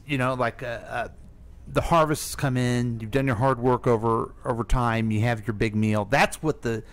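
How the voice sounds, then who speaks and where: An American man co-hosting a radio show in casual chat, with an informal, prompting manner. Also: medium pitch. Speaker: radio co-host.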